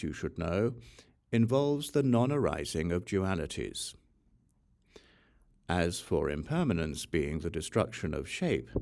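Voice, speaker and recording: A man with a very low, gravelly voice reads a sacred text as an audiobook narrator. His delivery is measured and solemn, with deliberate pauses, yet his pitch moves expressively through the phrases. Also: a little mellow. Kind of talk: scripted reading of a Buddhist sutra.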